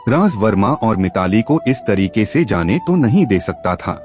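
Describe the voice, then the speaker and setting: A man narrates a scripted story in a fairly low voice. His delivery is dramatic and very lively in pitch, swinging up and down as he reads. Soft music plays behind him. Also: normal speed. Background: background music.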